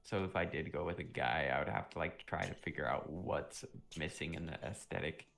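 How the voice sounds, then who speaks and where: A young man on a live stream, chatting casually and rambling with lots of fillers, a little sick and often clearing his throat. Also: rumbling low, a little fast, a bit bright. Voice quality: vocal fry. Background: music playing.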